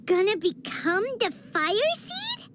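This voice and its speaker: A woman voicing a tiny, excitable fairy companion in a video game, with a squeaky, childlike, emphatic delivery. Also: slow pace, background noise, full character voice. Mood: distressed. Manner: emphatic, refers to self in third person. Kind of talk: cartoonish video game character acting.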